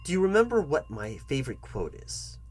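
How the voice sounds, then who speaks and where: A man playing a foolish, patronizing job interviewer in an ASMR comedy roleplay, speaking to the listener in a halting, repetitive, mock-earnest way. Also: mid-range voice, wildly swooping pitch, a little mellow, slightly rough, exaggerated voice. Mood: condescending, testing. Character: foolish, condescending male job interviewer toying with the candidate.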